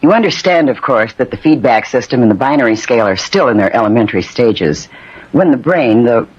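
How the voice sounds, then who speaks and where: A man voicing a scientist's devoted, earnest daughter and assistant, husky, explaining things in a 1950s radio drama. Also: medium pitch, animated, fairly quick. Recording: muffled 1950s radio recording.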